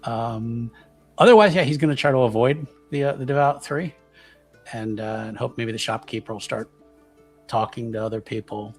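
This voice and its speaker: A man taking part in a tabletop roleplaying game, casually improvising what his character does and thinking aloud in a halting, jokey way. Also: mid-range voice, husky, faint music behind.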